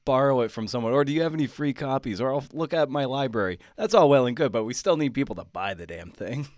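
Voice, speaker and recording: A man's voice: mid-range voice, lively intonation, quick pace, no echo, studio quality. A man hosting a podcast as interviewer, talking casually and phrasing his thoughts loosely.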